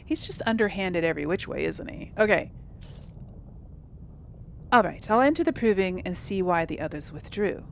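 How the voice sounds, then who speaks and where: A woman's voice: on the low side, animated, moderate pace, pauses often, a little hiss or hum. A woman hosting a casual role-play gaming video, talking in an easygoing way to herself and her audience. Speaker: gaming host playing and commenting. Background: game music.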